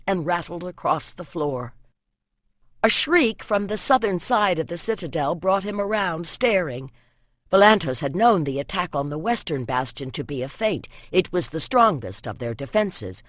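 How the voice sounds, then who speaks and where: A narrator reads an epic fantasy novel with solemn, expressive drama. The delivery is scripted storytelling, recorded close and dry in a studio. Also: mid-range voice, no echo. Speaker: audiobook narrator.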